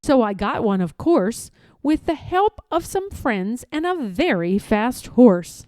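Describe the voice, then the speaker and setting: A woman reads a rhyming children's story in a mellow, softly toned voice. Her delivery is playful and emphatic, swinging widely up and down in pitch. The recording is close and dry. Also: very animated, takes it slowly, dry recording. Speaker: storyteller reading aloud. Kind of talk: expressive read-aloud of a rhyming children's storybook.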